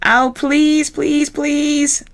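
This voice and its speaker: Woman voicing an eager, needy bear fella for a storybook; pleading, raised pitch. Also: flat delivery, drawn-out words, gravelly, heavily acted. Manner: eager, begging.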